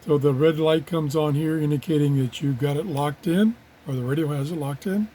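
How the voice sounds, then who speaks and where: A man's voice: a little high, brisk, warm tone. A man giving a casual, unscripted hands-on product demonstration as a reviewer, muttering to himself as he works in an office. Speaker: radio reviewer. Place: home office. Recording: clear background noise of radio static. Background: radio static and tuning noise.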